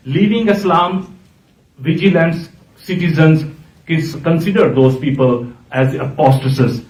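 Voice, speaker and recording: A man's voice: mid-range voice, a little mellow, far from the mic, highs cut off. A man, speaking earnestly on a conference panel, often stopping to correct himself. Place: large hotel conference hall. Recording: echoey hall, voice from off the mic.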